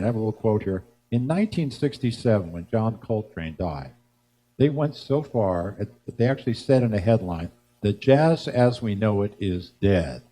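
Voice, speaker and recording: An older man hosting a live music show, giving a rambling, halting spoken introduction about music history, pausing to recall details. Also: lowish voice, warm tone. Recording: noticeable background noise and hum.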